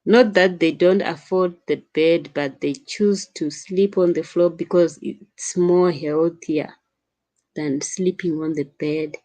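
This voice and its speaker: Young African woman vlogger, unhurried lowish voice, chatty live stream on her phone. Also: fairly even intonation, warm tone. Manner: slow, chatty, laughs often, addresses viewers as sister. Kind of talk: late-night live stream chat, answering viewer comments.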